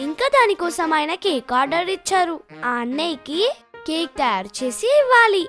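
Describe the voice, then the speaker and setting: A woman voicing a sweet, eager little girl in a children's cartoon, gushing and excitable. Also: very high-pitched, moderate pace, faint music behind. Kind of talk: animated children's story dubbing.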